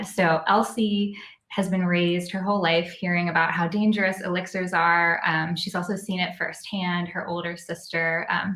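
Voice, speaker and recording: Female author guest, informal and conversational, lowish pitch, very roomy recording. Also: fairly bright tone. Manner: informal, with frequent "like" and "kind of". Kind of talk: casual interview talk. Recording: very roomy, echoey sound.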